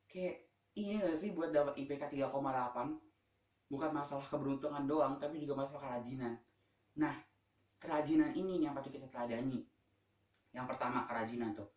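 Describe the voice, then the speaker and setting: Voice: mid-range voice, a little fast, pauses often, darkish tone. A teenage student presenting a school vlog informally and emphatically, often putting questions to the listener. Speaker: student vlogger. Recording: echoey room.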